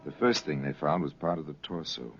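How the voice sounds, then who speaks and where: A man narrating a dramatic old radio crime series in a grave, deliberate storyteller's manner. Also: rumbling low, gravelly. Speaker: narrator and host. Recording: dull vintage radio recording, loud music behind.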